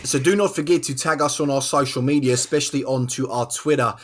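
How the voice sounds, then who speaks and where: A man's voice: medium pitch, fast talker. A British man hosting a podcast with casual banter, putting on a bad, wandering Australian accent. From Britain. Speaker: podcast host. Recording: clearly noisy recording with clicks.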